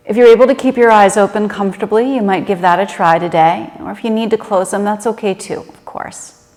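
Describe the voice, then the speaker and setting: A woman leading a guided meditation in a gentle, unhurried and conversational manner. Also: mid-range voice. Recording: reverberant room with long echo.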